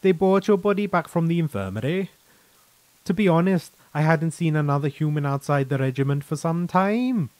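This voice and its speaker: A man's voice: a little high, lively intonation, moderate pace, a little mellow, no echo, voice pushed up, lightly acted. A man voicing a chatty, frank, easygoing veteran soldier in a sci-fi story, with colloquial, mildly profane, persuasive talk. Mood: friendly, reassuring.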